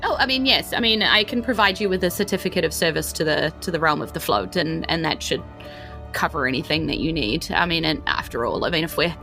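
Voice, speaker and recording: A woman plays a stately queen of an undersea realm in a tabletop game. She sounds grand and motherly, yet flustered and halting, with music behind her. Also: low voice, lively intonation, creaky, bright, forceful tone, heavily acted. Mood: gracious, obliging.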